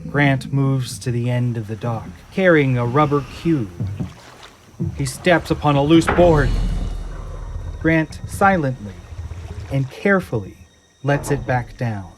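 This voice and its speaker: A man's voice: medium pitch, animated, slow pace, long pauses, noisy background. A man reading scripted dramatic narration for an audio drama in a suspenseful storytelling manner. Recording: music and effects behind narration.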